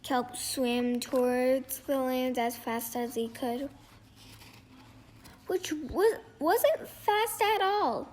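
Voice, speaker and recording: A female reader reading a children's picture book aloud in a halting way, stumbling over words and repeating phrases. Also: sits high, a little mellow, slight background noise. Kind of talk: storybook read-aloud.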